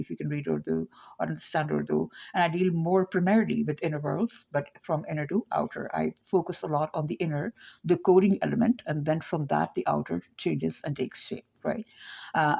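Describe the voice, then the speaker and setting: A woman's voice: deep voice, creaky, mellow. A woman teaching her framework in an informal lecture, meandering and thinking aloud, often checking in with "right?".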